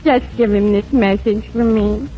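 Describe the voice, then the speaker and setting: An actress playing a literal-minded, self-deluded housemaid in a 1940s radio sitcom, deadpan and guileless. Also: female voice, medium pitch, measured pace, natural voice. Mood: resigned, dreamy. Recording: muffled old radio recording.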